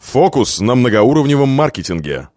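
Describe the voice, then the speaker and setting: A male narrator delivers a scripted promotional read for a company. His intonation moves widely and expressively while the phrasing stays deliberate. Music plays clearly beneath his voice. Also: mid-range voice, very animated, a little mellow. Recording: music under the voice.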